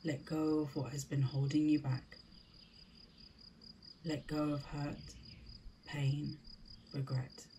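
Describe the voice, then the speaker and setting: A woman leading a scripted guided meditation in a soothing, deliberate manner, with short spaced phrases. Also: deep voice, little pitch movement, mellow, a little hiss or hum.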